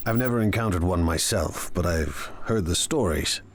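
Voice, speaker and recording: A man's voice: low-pitched, moderate pace. A man playing a ruthless, ambitious crime boss in a video game, speaking in a smug, imperious, commanding way. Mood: urgent. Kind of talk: cinematic video game acting.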